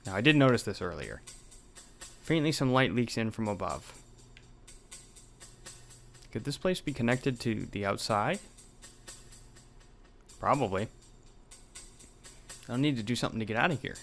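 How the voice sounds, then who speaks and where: A man's voice: medium pitch, lively intonation, unhurried, long pauses, fairly bright tone, faint music behind. A young man hosting a let's play, reading out a horror game's dialogue with some drama and swearing in asides. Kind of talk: reading game text aloud with commentary.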